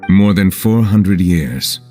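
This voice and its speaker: Casting: solemn immortal chief justice in a game; very deep, formal, measured, modest. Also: male voice, expressive, warm tone, soft background music. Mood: grave. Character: dignified, ancient, reserved male chief justice. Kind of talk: video game drama acting.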